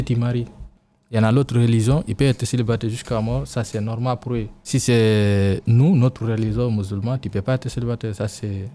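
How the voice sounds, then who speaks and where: A young man from Guinea-Bissau, a vocational student, speaking earnestly in halting non-native French in a classroom debate. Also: mid-range voice, mellow, slight background noise. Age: young adult.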